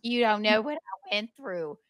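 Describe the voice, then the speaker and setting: Mocking woman panelist, lively dry voice. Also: mid-range voice, a little slow, no room sound. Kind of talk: casual political podcast chat.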